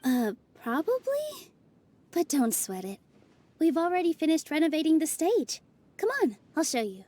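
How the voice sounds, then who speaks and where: Bubbly young festival dancer. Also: female voice, high-pitched, moderate pace. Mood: cheerful. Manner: bubbly, animated. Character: cheerful young female festival dancer, eager and kind. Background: game soundtrack music.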